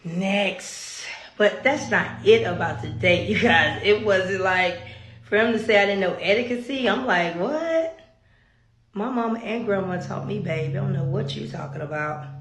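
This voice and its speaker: Hoarse, sassy woman vlogging a story. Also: medium pitch, expressive, a little slow, fairly bright tone, roomy sound. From Atlanta. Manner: chatty, sassy, laughs often. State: hoarse from bronchitis. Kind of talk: story time vlog.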